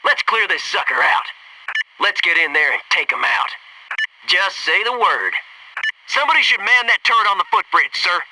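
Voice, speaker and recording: Casting: brash American SEAL squadmate, game combat lines, joking and slangy, very animated pitch, radio-filtered, sounding off the mic. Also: male voice, moderate pace, distant mic, noisy background. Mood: focused. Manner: cocky, joking, slangy, barks urgent callouts. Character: brash wisecracking young Navy SEAL squadmate. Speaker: video game voice actor.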